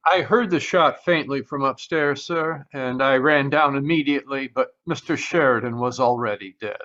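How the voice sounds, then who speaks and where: A man's voice: mid-range voice, normal speed, a bit bright, natural voice. A man playing a deferential, composed household servant in a radio mystery, formal and polite, on muffled call audio. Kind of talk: 1940s radio mystery acting. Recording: dull lo-fi video call audio.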